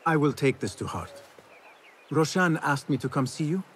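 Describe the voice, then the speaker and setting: Earnest, expressive young apprentice assassin. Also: male voice, a little high, moderate pace, slight background noise. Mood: respectful, curious. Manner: deferential, earnest. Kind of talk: scripted video game cutscene acting.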